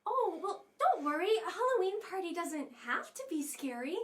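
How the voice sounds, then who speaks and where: A woman playing an excited, cheerful little girl firefly in a children's puppet show, eager and reassuring. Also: very high voice, normal speed, recorded from a distance.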